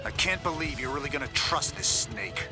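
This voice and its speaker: An actor voicing a sardonic, hot-tempered rebel soldier in a game cutscene, sarcastic and informal. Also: male voice, medium pitch, lively intonation, normal speed, music in the background, close to an everyday voice. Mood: angry. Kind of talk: dramatic video game cutscene acting.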